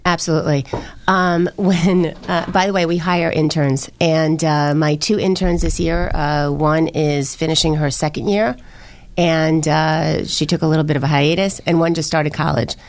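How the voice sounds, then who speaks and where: A woman with a slightly low voice, talking as a panel guest. She is blunt and playful, telling stories in a casual, chatty way. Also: fairly even intonation, moderate pace. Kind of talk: casual anecdotal chat.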